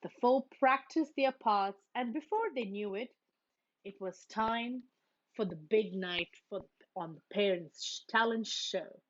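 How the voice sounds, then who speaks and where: A woman's voice: medium pitch, takes it slowly, dull audio. A woman reading a children's story aloud, expressively acting out the characters. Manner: expressive, acts out the characters' lines. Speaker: storybook reader.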